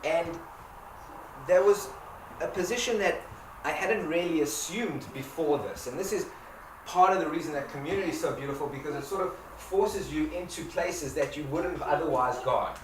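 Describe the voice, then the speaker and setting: A man's voice: on the high side, normal speed. A man from Africa, speaking informally to a live audience, often repeating and restarting his words. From South Africa. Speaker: community owner giving a talk. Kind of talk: personal talk to an audience. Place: event space with a live audience. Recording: roomy echo, distant mic, background noise.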